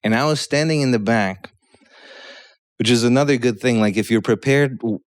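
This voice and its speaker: A man's voice: mid-range voice, moderate pace, no room sound, studio quality. A Polish-born man in his thirties, a guest comedian, telling a rambling story on a podcast and checking in with "yeah?" as he goes. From Poland.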